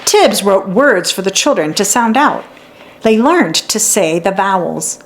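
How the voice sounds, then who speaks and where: A woman narrating a children's story in an expressive read-aloud style for young listeners. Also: mid-range voice, unhurried. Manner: acts out dialogue and sounds out letters.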